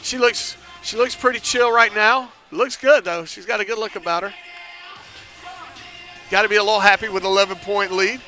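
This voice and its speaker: A man calling a basketball game live from an indoor arena, chatty, making joking asides about himself and rising to near shouts on big plays. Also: sits high, fairly even intonation, moderate pace, soft background music. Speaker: play-by-play commentator.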